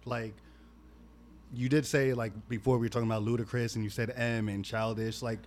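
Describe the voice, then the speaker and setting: A man's voice: medium pitch, a little fast. Male podcast host, mid-twenties, casual and rambly, slight noise in the room. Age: 20s. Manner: rambling questions, animated laughs.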